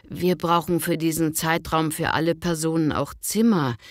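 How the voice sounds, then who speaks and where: Woman playing a polite business lady in a language lesson, low voice, very dry sound. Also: steady tone, moderate pace, no room sound, natural voice. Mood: polite, businesslike. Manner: polite, clearly enunciated. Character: polite businesswoman booking a hotel conference by phone. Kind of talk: scripted language-lesson dialogue.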